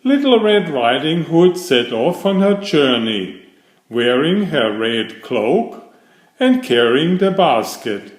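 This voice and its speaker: A man narrating a children's fairytale aloud in a deliberate, expressive storybook style. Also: a little high, echoey room.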